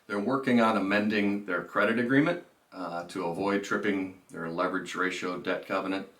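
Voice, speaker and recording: A man's voice: low-pitched, steady tone, normal speed, fairly bright tone. A man giving businesslike financial commentary as a presenter, stressing key phrases in an informal way. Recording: roomy echo, distant microphone.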